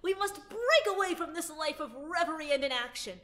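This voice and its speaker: A woman's voice: high voice, animated, brisk, bright, forceful tone. A woman playing a pompous boy scholar. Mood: grandiose. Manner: pompous, theatrical. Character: pompous boy scholar, grandly self-assured. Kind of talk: audio drama acting.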